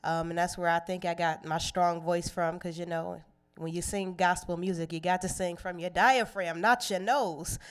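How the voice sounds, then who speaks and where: A young woman with a Cleveland accent talks in a relaxed, playful way and laughs easily as an interview guest. Her voice is smooth and clear and sits a little low. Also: normal speed. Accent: Cleveland, Ohio. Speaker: guest singer. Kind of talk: casual interview chat.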